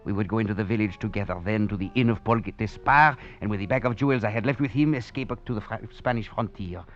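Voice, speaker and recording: An actor playing an escaped French convict, a philosophizing rogue, narrating and talking with theatrical, florid phrasing and wry chuckles in 1940s radio drama style. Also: male voice, on the low side, fast, natural voice. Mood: exultant. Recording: muffled old radio recording with music.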